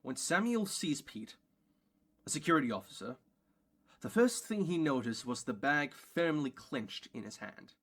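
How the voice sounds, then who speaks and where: A man's voice: on the high side, sing-song, unhurried, a bit bright, full character voice. A man reading scripted stage directions as the narrator of an audio play.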